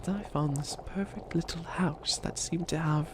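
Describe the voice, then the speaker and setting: A man's voice: on the high side, steady tone, moderate pace, lightly acted. A man playing a bumbling, dramatic bunny witch who fancies himself evil, rambling and scheming theatrically in a comedic ASMR roleplay. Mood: conspiratorial plotting.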